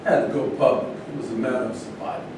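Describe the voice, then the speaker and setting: A man's voice: steady tone, very fast, natural voice. A man acting in a staged reading as an earnest, defensive ex-athlete in conversational dialogue. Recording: very echoey room, distant, rumbling noise.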